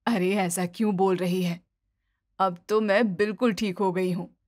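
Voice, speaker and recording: A woman puts on a forgiving elderly mother-in-law for a cartoon moral tale. The voice drops lower and speaks in a soothing, indulgent way. The recording is dry. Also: fairly even intonation, measured pace, darkish tone, no room sound, close to an everyday voice. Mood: gentle, forgiving. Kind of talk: cartoon moral story dialogue.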